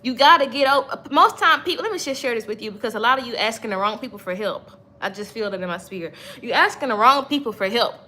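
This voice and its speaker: Emphatic bright-voiced woman preaching on a livestream. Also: fairly high pitch, animated, fast, hardly any pauses. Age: 30s. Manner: preachy, emphatic, repeats points, chats with viewers. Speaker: livestream host. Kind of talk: motivational faith talk on a livestream.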